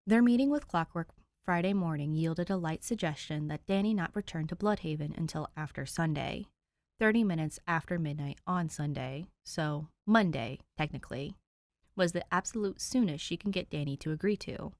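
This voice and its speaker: A woman reading fan fiction aloud, narrating with an animated storytelling manner. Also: deep voice, moderate pace, no room sound. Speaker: podfic reader.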